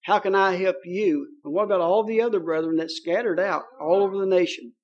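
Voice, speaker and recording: A man's voice: high-pitched, fairly quick, muffled recording. A man with a Kentucky accent preaching a sermon in a folksy, joking way, with many fillers. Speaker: pastor.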